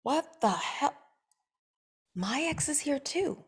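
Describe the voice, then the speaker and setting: A woman reading her lines at a script table read, dry and blunt, with quick comebacks. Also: sits high, lively intonation.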